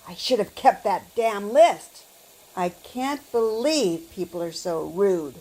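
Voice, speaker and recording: A woman playing a lonely, grieving old widow who talks slowly to herself in an audio drama. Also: medium pitch, lively intonation, warm tone, rough recording. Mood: bitter. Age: older. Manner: slow, wistful, talking to herself. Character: lonely grieving old widow, fired telemarketer, turning bitter.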